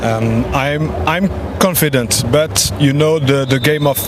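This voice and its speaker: Moroccan man searching for his words. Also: mid-range voice. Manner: searches for English words, measured. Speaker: interviewed football fan. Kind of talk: casual street interview. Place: outdoors on a city street with traffic. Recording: loud street noise.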